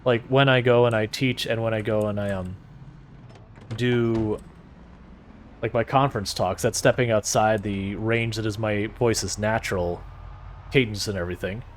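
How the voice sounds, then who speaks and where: A man with a soothing voice chatting casually with his stream viewers as a game streamer, relaxed and rambling, often restarting his sentences. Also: lowish voice, normal speed, slight background noise.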